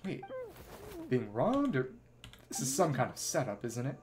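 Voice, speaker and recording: Man musing slowly, lively pitch swings. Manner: musing, thinking aloud in clipped fragments. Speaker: livestreamer, virtual avatar host. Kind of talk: casual livestream gameplay commentary, reading game dialogue aloud.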